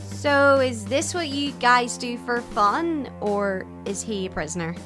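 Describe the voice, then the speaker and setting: A woman's voice: on the high side, animated, measured pace, over music. A young woman playing a feisty, easily astonished student who asks blunt questions in an audio drama. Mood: wary. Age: young adult.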